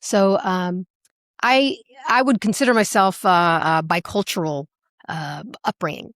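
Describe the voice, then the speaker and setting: A woman with an American accent, speaking casually as an interview guest, animated and playful as she tells her stories. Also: on the low side, a little slow, no echo, clean studio sound. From Alaska, United States. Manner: animated, playful, acts out remembered remarks. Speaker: singer, podcast guest.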